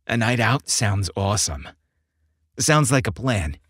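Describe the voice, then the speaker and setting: An amiable, athletic young guy voiced by a male audiobook narrator talks in a relaxed, agreeable way. The delivery is slow with lively pitch. The sound is dry. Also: slightly rough, no echo, full character voice. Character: easygoing, sweet-natured athletic young man. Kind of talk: audiobook character dialogue.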